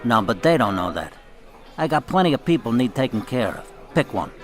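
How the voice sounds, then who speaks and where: An actor playing a cool, commanding mafia boss with dry, understated menace in a radio drama. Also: male voice, mid-range voice, sing-song, a little fast, faint music behind, lightly acted. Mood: cool, businesslike.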